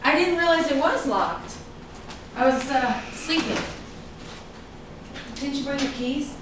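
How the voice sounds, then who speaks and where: A woman's voice: high-pitched, animated, slow pace. An actress playing an anxious, worn-down woman afraid of her volatile lover in a staged play reading. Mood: guarded. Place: echoey indoor room at a staged reading. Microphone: distant microphone. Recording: distant echoey room, clearly noisy.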